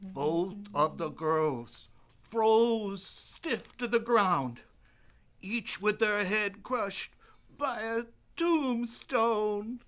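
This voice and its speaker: Woman acting a slow, haunted, halting doctor. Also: mid-range voice. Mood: grave horror. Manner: halting, hesitant delivery. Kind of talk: old-time radio play acting.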